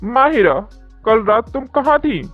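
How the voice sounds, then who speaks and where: A woman playing a suspicious, exasperated middle-aged father in an animated story. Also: a little high, measured pace, dry recording. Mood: suspicious, stern. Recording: dull lo-fi audio with music.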